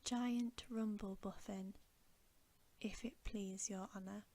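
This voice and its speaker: A huge, good-natured storybook giant, whispered by a woman reading ASMR. He is homely, rustic and politely deferential, and takes his words slowly with little swing in pitch. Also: steady tone, mellow. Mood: obliging.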